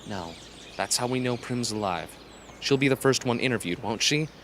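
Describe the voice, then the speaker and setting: A man playing an earnest, gentle teenage boy in an amateur radio drama, patient and persuasive. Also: medium pitch, moderate pace, a little hiss or hum, lightly acted. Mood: reassuring.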